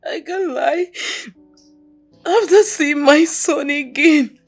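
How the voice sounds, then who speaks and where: A woman's voice: sits high, takes it slowly. An actress playing a remorseful young mother fighting drug trouble, tearful and pleading. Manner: tearful, pleading, repeating apologies. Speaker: actor. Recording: faint music underneath.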